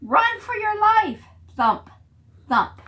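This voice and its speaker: A woman voicing excitable, panicky little prairie dogs in a storybook, exclaiming in childlike squeals. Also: very high-pitched, takes it slowly, far from the mic, slight background noise, pitched above the performer's own voice, heavily acted. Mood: panicked.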